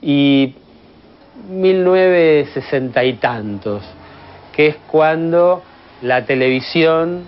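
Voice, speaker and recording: A man's voice: fairly high pitch, animated, takes it slowly, a little hiss or hum. A man with an Argentine accent explaining methodically in an interview, like an expert. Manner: methodical, didactic. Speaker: radio history expert. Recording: slightly dull lo-fi sound.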